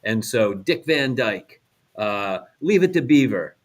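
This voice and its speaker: A man's voice: medium pitch, lively intonation, takes it slowly. A middle-aged American man co-hosting a podcast, telling casual anecdotes in short conversational phrases. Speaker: podcast co-host.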